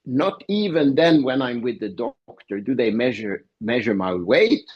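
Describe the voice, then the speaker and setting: A man's voice: medium pitch, expressive, a little slow, a little mellow, husky, dull audio. A man speaking as a guest professor in a conversational interview, explaining his points with personal examples.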